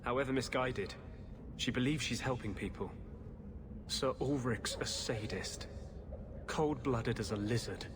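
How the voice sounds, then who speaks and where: An actor playing an intense, principled rebel mage in a fantasy video game, grim, earnest and insistent. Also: male voice, medium pitch, unhurried, long pauses, natural voice. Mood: grim, bitter. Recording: clearly noisy game audio.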